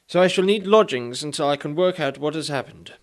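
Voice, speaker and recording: Man acting as pompous knight, raised pitch, archaic declamation, literal-minded time traveller, comic radio play. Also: brisk, lightly acted. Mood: puzzled, earnest. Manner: declamatory, archaic, self-important.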